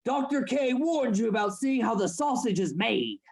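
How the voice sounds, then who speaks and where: A man acts out a domineering anatomy assistant in a Victorian horror radio play. His voice is rough and husky, with big swings in pitch. He speaks in formal, theatrical commands, scheming and controlling. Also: big pitch swings, normal speed, heavily acted. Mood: angry, threatening. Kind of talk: live radio play acting.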